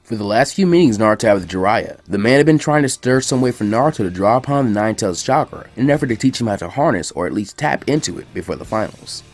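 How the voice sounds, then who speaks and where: A man reading a story aloud as an animated narrator. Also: fairly low pitch, fast talker, hardly any pauses. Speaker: fan fiction reader.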